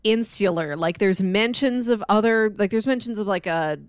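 A woman's voice: mid-range voice, normal speed, clear, resonant tone, no room sound, clean studio sound. A woman co-hosting a podcast, chatting casually about comic books. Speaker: podcast co-host. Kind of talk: casual comic book discussion.

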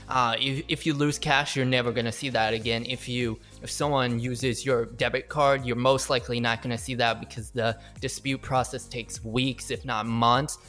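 A man hosts a casual interview and picks up his guest's points in a measured, conversational way. His pitch is mid-range. Soft music plays faintly behind him.

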